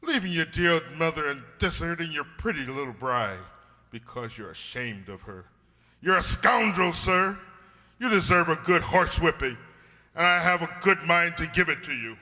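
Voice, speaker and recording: A man playing a blustering, indignant but kindly gentleman doctor in a radio drama, full of old-fashioned exclamations. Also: on the high side, animated, normal speed. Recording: reverberant hall sound.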